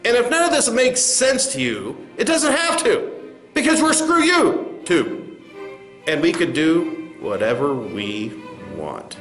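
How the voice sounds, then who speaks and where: A man's voice: high voice, huge pitch swings, takes it slowly, fairly bright tone, heavily acted. A man playing a smug, dismissive corporate spokesman in a comedy sketch, stammering through condescending doublespeak. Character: smug, dismissive male corporate spokesman facing angry creators. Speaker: spokesman at a press address. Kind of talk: satirical comedy sketch speech. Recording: roomy echo with faint music.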